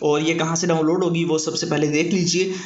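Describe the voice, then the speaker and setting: A man's voice: a little high, little pitch movement, brisk, a little mellow. A man hosts an informal app tutorial, explaining in a repetitive, filler-heavy way. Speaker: YouTube tutorial host. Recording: echoey room, dull muffled sound.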